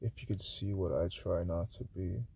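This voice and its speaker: A man from Haiti reading his own spoken-word poems aloud, swinging between loud declarations and hushed, breathy asides. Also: low voice, moderate pace.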